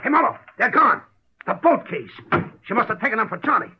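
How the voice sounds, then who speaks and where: An actor playing an anxious, uneasy man in an old-time radio detective drama, husky and agitated, halting then urgent. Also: extremely high pitch, lively intonation, full character voice. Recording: dull old radio recording.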